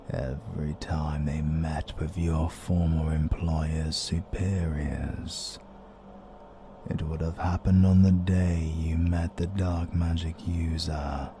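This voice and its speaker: A British man playing an ominous, courteous interdimensional being in an audio roleplay, speaking in a formal, measured, detached way. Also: extremely low pitch, gravelly, noisy background, heavily acted.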